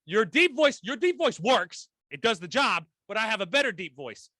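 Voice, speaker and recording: A man's voice: high voice, big pitch swings, moderate pace, pushed and bright, no room sound. A man hosting a casual podcast chat, full of crude jokes and frequent laughter. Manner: crude, joking banter, laughing often. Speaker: podcast host.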